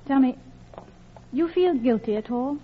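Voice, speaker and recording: An actress playing an anxious, guilt-ridden middle-class wife in a classic radio drama, with a polite, hesitant, confessional manner. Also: female voice, sits high, animated, measured pace, slight background noise. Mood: polite, curious. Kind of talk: classic radio drama acting, with intimate narration. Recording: dull old radio recording with music.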